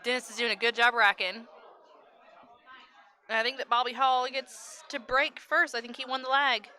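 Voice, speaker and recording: A woman's voice: fairly high pitch, fairly even intonation, normal speed, pushed and bright. A woman giving casual, chatty live commentary on a pool match and answering viewers, laughing easily. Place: loud pool hall with a crowd. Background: crowd chatter.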